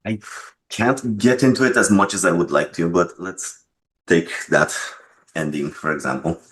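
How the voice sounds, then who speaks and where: A man explaining his creative choices in an interview, measured in manner, on a noisy mic with clicks. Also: low voice. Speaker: game developer being interviewed.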